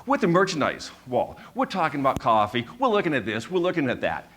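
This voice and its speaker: A man in his fifties telling a personal story on stage, with a stutter that repeats sounds and words, building his points through measured, emphatic repetition. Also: mid-range voice, huge pitch swings, fairly bright tone, husky. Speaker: speaker giving a talk.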